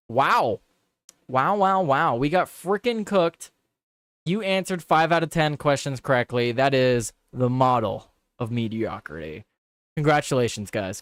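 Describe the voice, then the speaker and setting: A young man hosting a casual live quiz show, talking in a smooth, clean voice. He reacts to each headline with chatty repetition and the odd swear word. The sound is close and dry, with no room around it. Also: on the high side, fairly bright tone, no echo. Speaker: livestream host.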